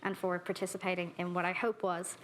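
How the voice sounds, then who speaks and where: Woman, keynote speaker, lower voice, earnest and direct, faint background hiss. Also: flat delivery. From Ireland. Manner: earnest, warmly direct, brisk with lists. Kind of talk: keynote talk and audience Q&A. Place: conference hall. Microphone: handheld microphone.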